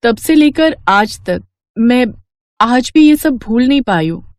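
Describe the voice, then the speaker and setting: A woman's voice: fairly high pitch, expressive, slow pace, a little mellow, no echo, clean studio sound. A woman playing a determined, ambitious young woman from a poor family in a cartoon love story, bold and insistent. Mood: earnest, determined.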